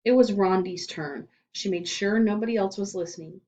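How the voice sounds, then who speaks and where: A woman reading a children's storybook aloud in an expressive narrating style. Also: mid-range voice, normal speed. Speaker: storybook reader. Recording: slightly dull, lo-fi sound.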